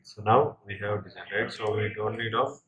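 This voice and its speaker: A man giving a step-by-step software tutorial in a halting way, often checking in with the listener. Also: on the low side, crowd noise. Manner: halting, with frequent check-in tags. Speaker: software instructor. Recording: noisy, with keyboard typing and clicks.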